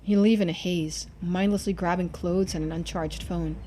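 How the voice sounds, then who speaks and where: A woman's voice: lowish voice, steady tone, normal speed, warm tone. A woman game streamer reading a visual novel aloud, switching into playful, chatty live commentary.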